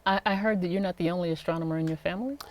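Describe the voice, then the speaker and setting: A woman hosting an interview series, reading a scripted intro and then asking questions in a measured, attentive way. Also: on the low side, no room sound. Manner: measured and attentive, frequent affirming murmurs. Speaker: interview host, executive coach.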